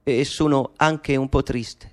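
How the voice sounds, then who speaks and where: A man's voice: medium pitch, steady tone, slow pace, darkish tone, lightly acted. An actor playing a young mathematician in a radio drama, writing to his mother in an intimate, playful, nervously eccentric monologue. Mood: wistful. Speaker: actor playing Alan Turing. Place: studio.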